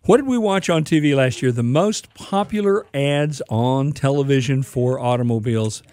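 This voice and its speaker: A man hosting a casual radio show about cars, explaining news in a relaxed, informative way with filler uhs. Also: mid-range voice, normal speed. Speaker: radio show host, car expert.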